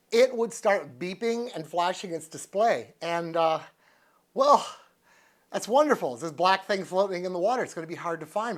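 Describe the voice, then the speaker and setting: An American man in his sixties chatting casually and unscripted as he reviews a product, thinking aloud in a folksy, easygoing manner. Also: high-pitched. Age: 60s. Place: living room with couch, indoors.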